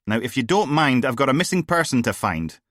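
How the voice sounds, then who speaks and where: A man voicing a tired, dry-witted male detective who answers with flat exasperation. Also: mid-range voice, a little fast, no echo, pitched above the performer's own voice. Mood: exasperated.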